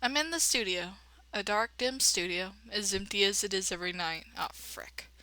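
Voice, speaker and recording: A woman's voice: medium pitch, moderate pace, sharp tone, no echo, rough recording. A woman reading a game dramatically and adding casual, slangy, irreverent commentary as a let's play host.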